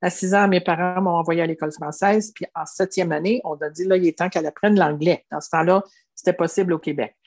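Woman in her seventies, Québécois French accent, lowish pitch, earnest storytelling, frequent restarts. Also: steady tone, fast talker, darkish tone. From Quebec. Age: 70s. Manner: earnest, many restarts and filler "tu sais". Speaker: interviewee. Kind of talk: reflective personal storytelling.

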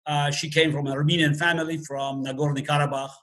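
A man, speaking English as a guest in a casual interview, halting with many fillers and restarts and a dry humor. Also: fairly high pitch, little pitch movement, fairly bright tone. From Azerbaijan. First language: Russian.

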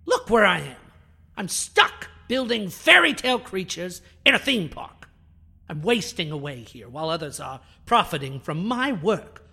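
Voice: medium pitch, sing-song, moderate pace, gravelly, pushed and bright, slightly rough, heavily acted. An actor playing a proud, bitter alien scientist in a sci-fi audio drama, speaking in a haughty, theatrical, precise and formal way. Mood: bitter, indignant. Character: proud, bitter alien scientist who builds biohybrid creatures. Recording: music under the voice.

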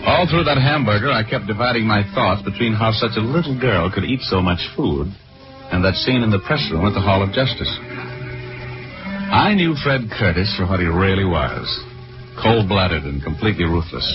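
A man's voice: lowish voice, lively intonation, moderate pace, natural voice. An actor playing a cocky, wry American private detective who narrates his own case in 1940s radio style. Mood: wry narrating. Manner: breezy, flippant, wry. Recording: dull 1940s radio recording with music.

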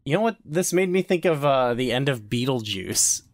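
A man's voice: medium pitch, expressive, normal speed. A man co-hosting a podcast in casual, joking conversation. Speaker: podcast co-host.

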